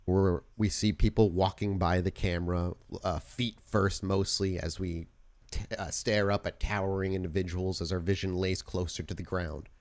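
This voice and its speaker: A man hosting and narrating a tabletop roleplaying podcast as storyteller, informal in chat and deliberate when setting scenes. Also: deep voice, level tone. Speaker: podcast host and game storyteller.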